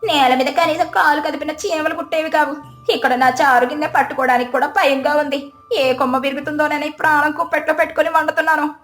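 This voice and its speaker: A woman's voice: sits high, steady tone, quick pace, fairly bright tone, faint music behind, pitched above the performer's own voice. A woman voicing a whiny, dramatic village daughter-in-law in a moral story, with a plaintive manner. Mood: fearful. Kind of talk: moral story character acting.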